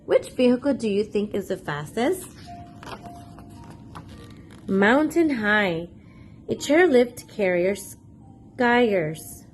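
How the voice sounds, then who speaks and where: A woman's voice: mid-range voice, animated, slow, long pauses, soft background music. A woman hosting a children's read-aloud, reading a picture book expressively and putting questions to young listeners. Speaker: children's read-aloud storyteller and channel host.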